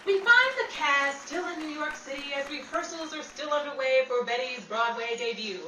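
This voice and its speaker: A woman's voice: sits high, normal speed, pushed and bright. A woman playing a grand, chatty announcer and narrator of a 1930s-style radio show. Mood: showy, welcoming. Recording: roomy, distant, noisy recording with crowd.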